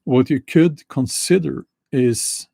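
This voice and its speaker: Norwegian guy rambling slow to camera, gravelly low-key voice, dry close sound. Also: mellow, no room sound. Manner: slow, halting, many restarts and fillers. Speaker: YouTuber. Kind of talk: casual monologue to camera.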